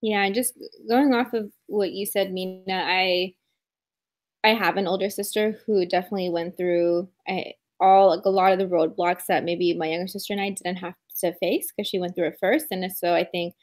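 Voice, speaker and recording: Young woman panelist, lowish voice, easy conversational talk. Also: fairly even intonation, normal speed. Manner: conversational, builds on others. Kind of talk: casual panel discussion.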